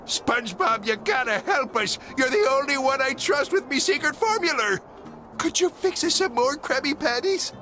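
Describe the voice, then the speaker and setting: An actor voicing a greedy, gruff old crab boss with a gravelly stage-sailor pirate accent, in a cartoon game. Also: male voice, very high-pitched, lively intonation, normal speed, bright, forceful tone, soft background music, full character voice. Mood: pleading, coaxing.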